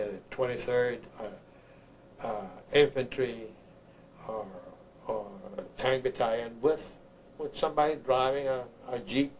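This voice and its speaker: An older man from Honduras, an army veteran, recounts his memories haltingly, repeating words as he searches for the next one. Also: mid-range voice, a little mellow, low-quality recording. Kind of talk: oral history recollection. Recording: clear background noise, room ambience.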